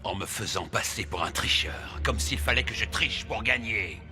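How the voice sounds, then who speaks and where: Un comédien de doublage qui joue un professeur maléfique, froid et orgueilleux, au ton posé et condescendant. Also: male voice, on the low side, expressive, music in the background, close to an everyday voice. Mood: cold menace. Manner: measured, condescending, ominous. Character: cold, menacing, proud male villain professor. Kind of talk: animated series dub acting.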